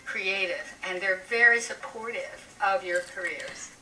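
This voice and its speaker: A woman gives a psychic reading in a bright voice, kindly and encouraging. She sounds far from the mic, with faint music behind. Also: mid-range voice, measured pace, roomy sound.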